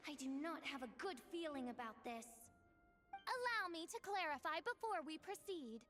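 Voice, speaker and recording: High, bright, pompous theatrical girl. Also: expressive, normal speed, faint music behind. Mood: puzzled, wary. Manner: pompous, archaic dramatic phrasing. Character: theatrical, grandiose young girl playing dramatic princess villain. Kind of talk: video game character acting.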